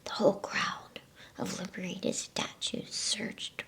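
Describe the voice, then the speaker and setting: A woman reading a bedtime story as ASMR in a soft, hushed, unhurried whisper.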